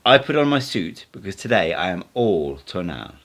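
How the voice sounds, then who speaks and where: A man's voice: sits low, big pitch swings, normal speed, fairly bright tone, full character voice. A man voicing a playful, assured, cryptic male mystic teacher in an audiobook, speaking in emphatic declarations. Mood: assured, instructive. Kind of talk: audiobook character dialogue.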